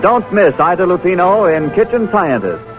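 A man's voice: sits high, sing-song, normal speed. An American man reading scripted announcements in 1940s radio style on an old broadcast. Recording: dull old radio broadcast, music behind.

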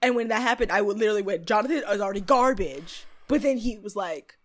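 A woman in her late twenties, co-hosting a podcast, recapping a TV episode in casual, profane, lively chat. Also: mid-range voice, fast. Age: 20s. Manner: animated, profane, lively storytelling. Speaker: podcast co-host.

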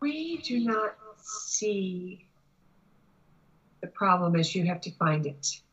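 A woman giving a spiritual reading to a client in halting, deliberate phrases with long pauses. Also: fairly low pitch, sing-song, fairly bright tone, husky, muffled recording. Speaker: Akashic Records reader, guest.

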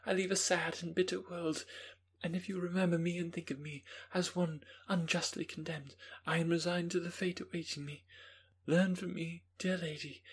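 A man voicing a gentle, condemned young woman who speaks softly and mournfully, resigned to her fate. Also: sits high, husky, pitched above the performer's own voice. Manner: soft, mournful, tender pleading. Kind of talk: audiobook character reading.

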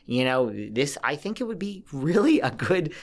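A man's voice: on the high side, big pitch swings, moderate pace. A man from Connecticut, a podcast guest, telling gossip stories in a chatty, emphatic way. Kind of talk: casual gossip chat.

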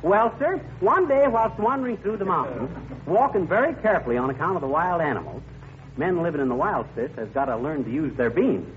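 An actor playing a genial, blustery small-town teller of tall tales in a folksy 1940s radio comedy style. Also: male voice, on the high side, big pitch swings, fairly quick. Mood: boastful, jovial. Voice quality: chuckling, folksy delivery. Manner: folksy storyteller, rambling and emphatic. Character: genial blustery tall-tale-telling middle-aged small-town man. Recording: muffled, noisy old radio recording.

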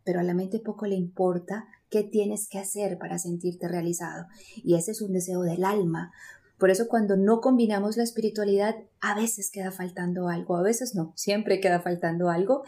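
Colombian woman with a mellow voice. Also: mid-range voice, fairly even intonation, moderate pace. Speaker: interview guest, spiritual coach. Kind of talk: reflective, motivational interview talk.

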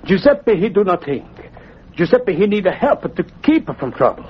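Anxious Italian immigrant father, higher-pitched. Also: big pitch swings, normal speed, breathy edge, heavily acted. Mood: insistent, pleading. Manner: broken English, refers to himself in third person. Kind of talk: 1940s radio acting. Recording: dull, noisy old radio recording.

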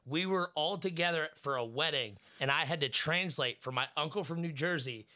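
Bright-voiced man hosting a podcast. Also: a little high, moderate pace. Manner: loose, swearing, storytelling. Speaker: podcast host. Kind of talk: casual podcast chat.

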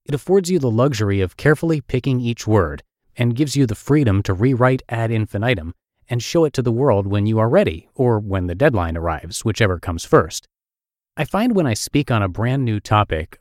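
A man's voice: mid-range voice, darkish tone, dry recording, clean studio sound. A middle-aged man with a Russian accent reading his own essay aloud in a wry, self-deprecating storytelling manner. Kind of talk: read-aloud essay narration.

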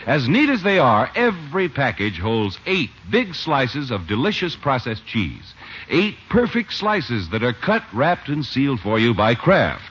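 A man's voice: mid-range voice, big pitch swings, a little slow, a bit bright, slightly rough. A man announcing in the scripted style of a 1950s radio sponsor spot. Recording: dull, noisy old radio recording.

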